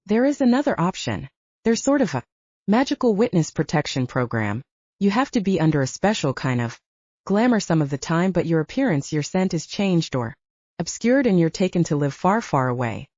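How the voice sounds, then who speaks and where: A serious male mentor as a woman audiobook reader plays him gives candid, persuasive advice. The delivery is expressive and dark-toned within a fictional story. The recording is dry and a bit dull. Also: on the low side, normal speed, no echo. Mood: serious, frank. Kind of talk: audiobook character dialogue. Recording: dull, band-limited audio.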